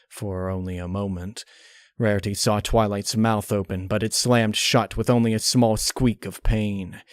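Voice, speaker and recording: A man reading a dark horror story aloud as a scripted narrator, close on the mic. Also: lowish voice, no room sound.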